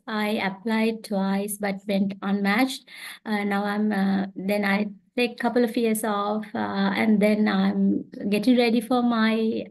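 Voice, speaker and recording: A woman from Sri Lanka introducing herself haltingly on a video call, with many fillers. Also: medium pitch, a little mellow. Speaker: meeting participant.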